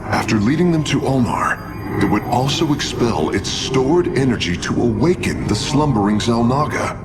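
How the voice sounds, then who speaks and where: Alien engineer for a game, male actor: formal, studious, medium register, animated pitch movement, careful explanations. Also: natural voice. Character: earnest, brainy male alien scientist and engineer. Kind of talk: video game cutscene acting. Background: loud music.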